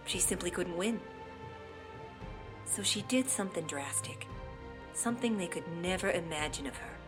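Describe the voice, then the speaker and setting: A woman voicing an opossum mother in an animated fandub, telling a story with drama and casual, playful asides, easily flustered. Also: fairly low pitch, close to an everyday voice. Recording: loud music behind the voice.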